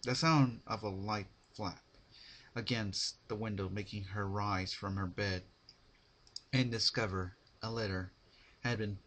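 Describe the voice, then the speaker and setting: Male narrator, low pitch, very slow, bright forceful tone, dramatic reading, halting phrases. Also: fairly even intonation, a little hiss or hum. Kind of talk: dramatic scripted story narration.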